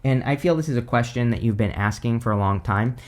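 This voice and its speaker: Hoarse man, tarot reader, casual rambling talk, mid-range voice. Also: mid-range voice, fairly quick, a little mellow. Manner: rambling, full of "like", "you know" and "right?". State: hoarse, losing his voice. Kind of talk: casual tarot reading to camera.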